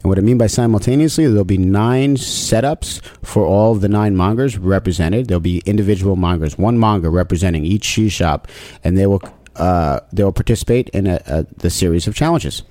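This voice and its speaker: A man in casual podcast chat, talking with playful enthusiasm and frequent restarts, throwing out shout-outs. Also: sits low, a little fast, no echo. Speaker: podcast guest, cheese competition organizer.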